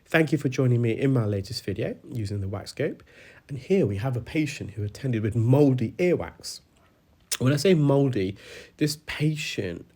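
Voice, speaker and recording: A man's voice: lowish voice, a little mellow. A British man working as an audiologist, narrating a clinical demonstration with a stuffy, congested voice from a cold and frequent hesitant fillers. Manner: explanatory, hesitant with many fillers.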